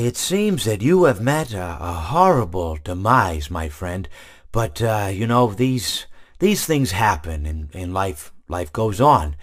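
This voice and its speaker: An actor playing a friendly, long-winded animatronic hippo who rambles through meandering stories, full of restarts. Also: male voice, lowish voice, very animated, takes it slowly. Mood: rambling.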